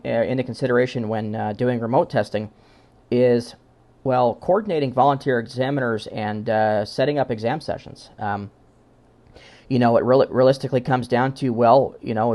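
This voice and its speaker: A man giving an informal technical presentation as a conference presenter, speaking in halting phrases with frequent pauses and repeated words. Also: lowish voice, darkish tone.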